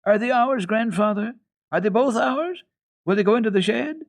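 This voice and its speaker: A man's voice: high voice, normal speed, pitched above the performer's own voice. A man voicing an eager, delighted little girl in a children's storybook, wide-eyed and full of questions.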